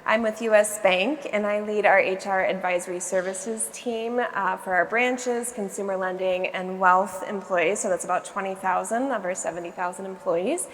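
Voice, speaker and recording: A woman's voice: medium pitch, steady tone, normal speed, hardly any pauses. Businesslike woman explaining her role. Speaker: panelist, HR advisory leader. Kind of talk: conference panel remarks. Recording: reverberant hall, faint room noise.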